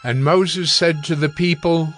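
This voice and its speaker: Solemn male scripture narrator over soft music. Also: a little high, steady tone. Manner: solemn, measured.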